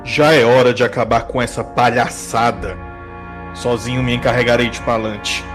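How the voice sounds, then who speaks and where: A man plays a cruel, huge warrior leader with a mid-pitched voice. He is arrogant and menacing, in audio drama style over clear music. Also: fairly even intonation, normal speed, fairly bright tone, close to an everyday voice. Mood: cruel contempt. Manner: menacing, contemptuous.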